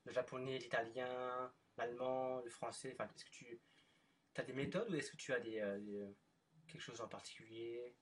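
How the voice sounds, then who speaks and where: A man hosting a casual chat, relaxed and encouraging, with many murmured replies. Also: medium pitch, normal speed, a little hiss or hum. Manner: relaxed, frequent backchannel murmurs. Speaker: podcast host, teacher. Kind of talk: casual conversation.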